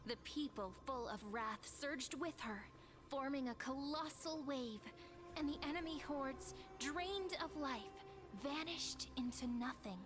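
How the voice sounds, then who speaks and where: A woman voicing a gentle, playful young companion who narrates a legend and teases her friend, in soft scripted game dialogue over loud music. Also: sits high, takes it slowly. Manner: soft, teasing, storytelling.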